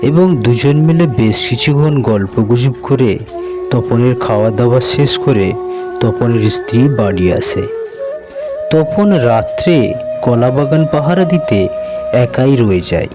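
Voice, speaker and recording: Unhurried male storyteller over music. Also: mid-range voice, expressive. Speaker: story narrator. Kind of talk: scripted cartoon story narration.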